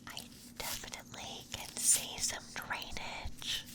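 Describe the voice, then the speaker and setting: A gentle, reassuring ASMR performer playing a nurse, speaking softly and breathily through a soothing medical exam roleplay. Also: takes it slowly. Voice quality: soft, breathy whispering.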